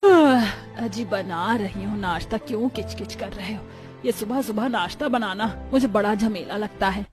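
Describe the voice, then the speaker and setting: A woman's voice: mid-range voice, normal speed, darkish tone, soft background music, close to an everyday voice. A woman voicing an irritable, lazy and haughty housewife in a cartoon story, grumbling and scornful. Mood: irritated grumbling. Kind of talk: Hindi cartoon story acting.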